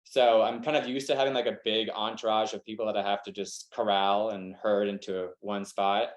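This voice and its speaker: A man's voice: on the low side, moderate pace. A young man with a Southern American accent, a wedding photographer chatting casually in a webinar, rambling and filling his talk with "like". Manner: relaxed, rambling, heavy use of "like". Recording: echoey room.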